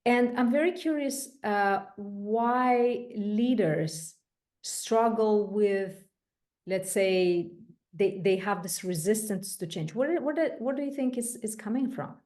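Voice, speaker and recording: Woman, podcast host, slow pace, deliberate, effusive, interviewer. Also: medium pitch, a little mellow.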